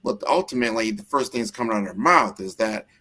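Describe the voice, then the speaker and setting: A man's voice: on the low side, lively intonation, fast talker, sharp tone, husky. An American man hosting a casual interview, talking earnestly and rambling with frequent restarts. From United States.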